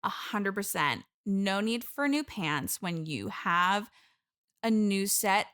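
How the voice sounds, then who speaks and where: A woman's voice: medium pitch, animated, takes it slowly, fairly bright tone. Woman playing a gushing, chatty young bridesmaid. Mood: eager, gushing. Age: young adult. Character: eager, earnest expert bridesmaid desperate for friends. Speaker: podcast guest. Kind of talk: improvised comedy interview.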